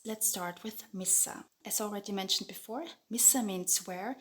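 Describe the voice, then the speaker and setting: A woman teaching grammar in a calm, measured voice-over. Her pitch sits in the middle of the range. She speaks clearly and slowly repeats each example sentence. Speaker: Finnish language teacher. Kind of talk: scripted grammar lesson voice-over. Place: voice-over over lesson slides.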